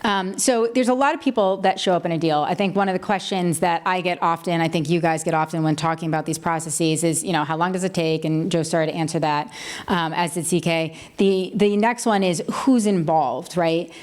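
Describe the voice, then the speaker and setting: A woman lawyer moderating a conference panel, brisk with dry asides. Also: fairly low pitch, fairly even intonation, darkish tone. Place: conference stage.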